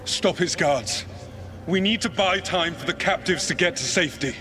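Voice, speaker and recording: An actor playing a plain-spoken, committed rebel commander in a fantasy game, rousing and commanding. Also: male voice, high-pitched, moderate pace, close to an everyday voice. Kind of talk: dramatic game dialogue. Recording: loud music behind the voice.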